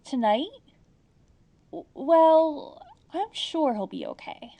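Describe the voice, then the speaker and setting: A woman's voice: sits high, expressive, slow pace, mellow. A woman playing an anxious, long-suffering housewife who speaks in a fretful, meek way in a comedy audio drama. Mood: uneasy relief.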